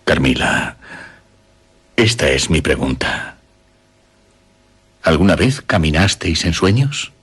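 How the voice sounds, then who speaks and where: Deep, husky, courteous Castilian father. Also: very animated, fairly bright tone, exaggerated voice. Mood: grave, questioning. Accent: Castilian Spanish. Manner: formal, deliberate, explanatory. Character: courteous, reassuring older father, master of a castle. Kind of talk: radio drama acting.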